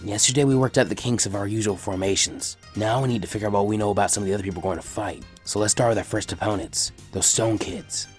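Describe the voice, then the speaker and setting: A man's voice: fairly low pitch, steady tone, fast, hardly any pauses, soft background music, voice pushed down, lightly acted. A man voicing a lazy, grumbling teenage boy strategist who mutters wearily. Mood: weary. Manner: weary, muttering. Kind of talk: fanfic audiobook reading.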